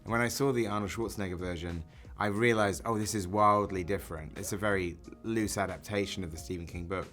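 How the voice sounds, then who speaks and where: A film director talks through his answers in an interview, circling back and restarting as he thinks. His voice sits very low, and a little music plays faintly behind him. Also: steady tone, brisk, hardly any pauses, a bit bright. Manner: thoughtful, restarts and reframes mid-sentence.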